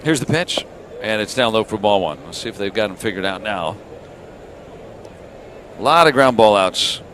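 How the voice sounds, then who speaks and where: Male baseball announcer over clear ballpark noise. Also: lowish voice, expressive, moderate pace, fairly bright tone. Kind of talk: live radio sports commentary. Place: baseball ballpark broadcast booth. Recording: clear crowd and ambient noise. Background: outdoor stadium ambience.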